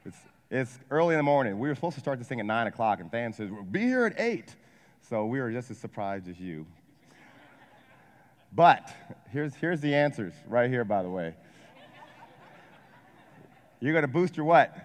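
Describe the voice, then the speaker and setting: A man in his forties from Ohio, giving an energetic motivational seminar talk and asking a live audience questions. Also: mid-range voice, moderate pace, pauses often. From Ohio, USA. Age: 40s. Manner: energetic, asks the crowd questions, jokes. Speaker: wealth advisor and coach giving a seminar talk. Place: large hall with a live audience. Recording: long hall reverb.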